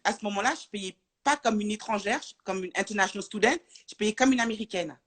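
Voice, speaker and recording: Woman, from France, interview guest, casual chat, hesitant, filler-heavy, mid pitch. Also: quick pace. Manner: hesitant, many fillers and restarts.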